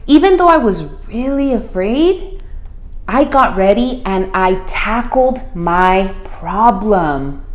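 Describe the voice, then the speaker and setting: A woman with a fairly high voice reads aloud to children in a roomy, echoing space. She goes slowly and deliberately, with expression, like a principal sharing a picture book with young pupils. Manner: expressive, deliberate, asks listeners questions. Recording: roomy, echoey room sound.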